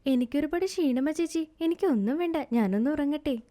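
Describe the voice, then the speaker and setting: A woman voicing an eager, cheeky younger sister in a village storybook tale, excitable and pleading. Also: sits high, fairly quick. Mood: sleepy, insistent.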